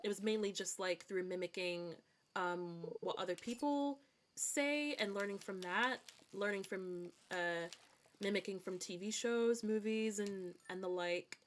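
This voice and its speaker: A woman's voice: mid-range voice, measured pace, slight background noise. Rambling woman streamer, mixed American-Scottish accent. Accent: mixed American and British Scottish. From Scotland. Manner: rambling, hesitant, circling back to repeat phrases. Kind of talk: casual livestream chat while gaming.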